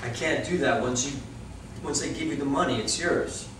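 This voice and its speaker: In a heavily echoing room, a man reads the part of a young boxer, troubled and defensive. His mid-pitched voice sounds far from the mic, with faint noise, as part of a stage reading. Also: normal speed, fairly bright tone, natural voice.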